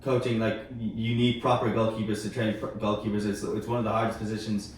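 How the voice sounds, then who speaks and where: A man's voice: lowish voice, flat delivery, brisk. A man talking casually in a panel discussion, giving his opinions emphatically and point by point. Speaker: sports media team member in panel discussion. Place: echoey room. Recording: very roomy, distant mic, faint noise.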